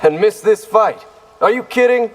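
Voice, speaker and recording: An actor playing a wry, easygoing ninja in a video game, with casual, teasing delivery. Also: male voice, high-pitched, normal speed, lightly acted. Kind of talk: video game character dialogue. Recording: long reverb.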